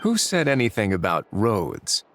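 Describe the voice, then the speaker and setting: A cool duke from a video game. He is dryly teasing and self-assured, with lively swings in pitch over background music. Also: a little slow, exaggerated voice. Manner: teasing, dry, relaxed. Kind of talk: English video game voice acting.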